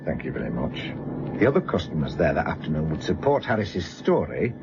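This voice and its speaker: An actor playing a wry, probing middle-aged British police inspector in a radio drama, unhurried and needling. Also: male voice, rumbling low, big pitch swings, noisy background, heavily acted. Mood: wry, amused. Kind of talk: naturalistic radio drama acting. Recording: dull lo-fi radio recording, music behind.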